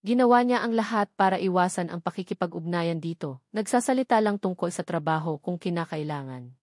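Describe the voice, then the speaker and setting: A woman's voice: fairly low pitch, expressive, a little fast, darkish tone, no room sound, clean studio sound. A woman reading a scripted dramatic story as its narrator.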